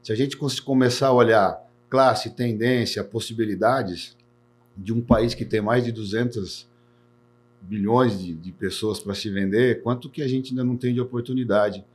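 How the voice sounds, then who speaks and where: A man's voice: medium pitch, fairly even intonation, moderate pace, a little mellow. A man in his forties from Brazil, an insurance executive talking earnestly in a podcast interview and often adding "né". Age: 40s. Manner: earnest, repeats words, tags sentences with "né".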